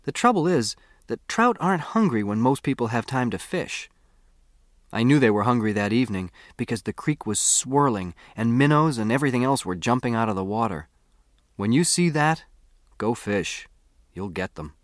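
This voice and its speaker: Male American audiobook narrator, medium pitch, very dry recording, scripted first-person storytelling. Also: very animated, normal speed, dry recording.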